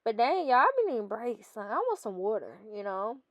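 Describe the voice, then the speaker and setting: A woman's voice: fairly high pitch, big pitch swings, fairly quick, no room sound, highs cut off. A young woman with a stuffy nose, chatting casually as a fitness vlogger over her workout footage and often calling her viewers "guys.".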